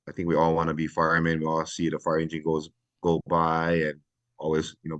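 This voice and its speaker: Very deep, flat-voiced retired fire chief. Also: male voice, dry recording, muffled recording. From Hawaii. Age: middle-aged. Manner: earnest, reflective, many pauses and fillers. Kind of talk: podcast interview.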